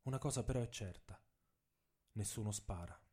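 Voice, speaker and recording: A man's voice: fairly low pitch, normal speed, dark tone, breathy edge. A man reading a scripted narrative as a storyteller, with an intense, dramatic, literary delivery. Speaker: narrator and author reading own text.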